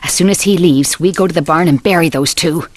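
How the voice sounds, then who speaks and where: A woman's voice: deep voice, brisk, dry recording. A woman playing a murderous, bitterly vengeful mother with genteel, mock-polite manners in an old-time radio drama. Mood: stern, menacing. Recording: noisy vintage radio broadcast.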